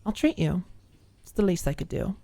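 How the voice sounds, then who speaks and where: A woman's voice: fairly low pitch, animated, normal speed, warm tone. A woman voicing a flustered, haughty and dramatic female college student in an audiobook, with prim, indignant phrasing. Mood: grudgingly apologetic.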